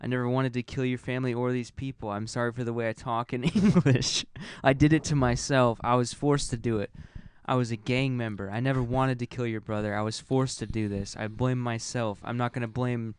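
A man's voice: mid-range voice, steady tone, brisk, hardly any pauses, slight background noise, close to an everyday voice. A man voicing a remorseful condemned former gang member, earnest and solemn, begging forgiveness in a comedy reading.

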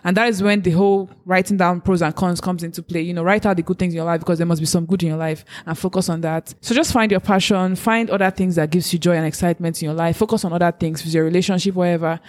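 Woman, from Africa, rapid speech, earnest, encouraging, casual advice chat. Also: lowish voice, fairly even intonation, a little mellow. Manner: earnest, faith-driven encouragement. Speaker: co-host giving advice.

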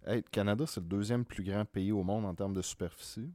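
A man from Quebec with a Québécois French accent, co-hosting a podcast chat and telling animated, profanity-laced stories. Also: fairly low pitch, fairly quick, no echo. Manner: animated storyteller, swears freely. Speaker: podcast co-host. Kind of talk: casual chat.

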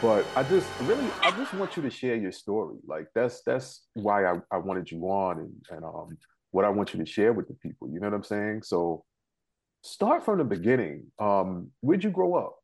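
A man hosting a casual interview with a somewhat low, husky voice. He is laid-back and chatty and circles back over his words. His delivery is easygoing. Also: big pitch swings, moderate pace, low-quality recording. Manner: laid-back, repeats himself, says "yo". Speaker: host.

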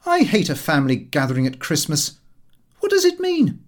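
A man's voice: a little high, wildly swooping pitch, normal speed, voice pushed up. A man voicing a scornful, mocking young son in an audiobook story, declaiming with open disdain. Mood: scornful ranting.

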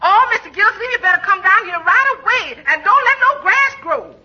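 An actress playing a plain-spoken, alarmed household cook in a 1940s radio comedy, urgent and folksy. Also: female voice, very high voice, lively intonation, normal speed, bright, forceful tone, heavily acted. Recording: muffled, band-limited 1940s radio recording.

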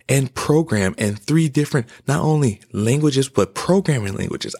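Man, 20s, podcast host, low gravelly voice, preachy emphatic monologue. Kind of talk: impassioned personal monologue.

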